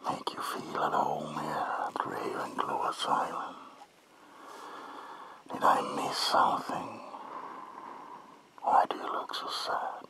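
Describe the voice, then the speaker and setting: Casting: melancholy, paranoid vampire ghost played by a man, sharp breathy whisper, very slow, theatrical ASMR delivery close to the mic. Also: a bass voice, full character voice, low-quality recording. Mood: sorrowful, brooding. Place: small dim indoor room.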